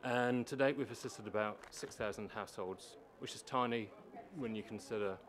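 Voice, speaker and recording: Low-voiced man giving a public submission. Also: normal speed, crowd noise. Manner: explanatory, emphatic repetition. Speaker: housing organisation manager presenting to a council. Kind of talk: prepared public submission speech. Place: council meeting chamber. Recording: meeting room with faint background noise.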